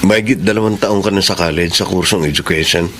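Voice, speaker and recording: A man's voice: low-pitched, quick pace, natural voice. A man with a Filipino accent playing a lovelorn, helpful friend in a radio drama. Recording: noisy radio drama ambience.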